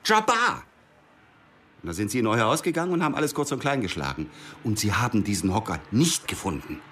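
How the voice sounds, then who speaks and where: A man's voice: fairly low pitch, big pitch swings, a little fast, fairly bright tone, slight background noise. An actor playing an urgent, distrustful interrogator in a radio drama, protective underneath as he pushes with short questions. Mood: urgent, reproachful. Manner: pressing short questions, drops to whispers. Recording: music behind the voice.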